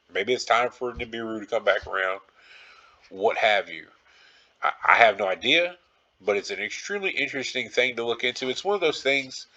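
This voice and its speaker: A man's voice: medium pitch, sharp tone, highs cut off. A man hosting a podcast solo, talking casually in a rambling, musing, speculative way. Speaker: podcast host.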